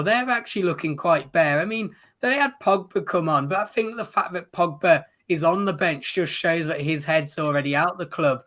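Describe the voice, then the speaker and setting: A man who has lost his voice, chatting casually as a football show co-host in an agreeable, measured way. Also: sits high, fairly bright tone. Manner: measured, agreeable, uses fillers like "um" and "you know". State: losing his voice.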